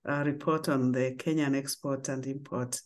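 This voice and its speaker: A judge making a brief, warm comment over a video call, speaking quickly. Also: mid-range voice, level tone.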